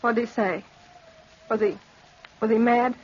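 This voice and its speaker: A woman's voice: a little high, fairly even intonation, unhurried, slightly rough. A woman acting a tough, world-weary young gambler in an old-time radio drama, with a brassy, streetwise manner. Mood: downcast, blunt. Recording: dull old radio recording.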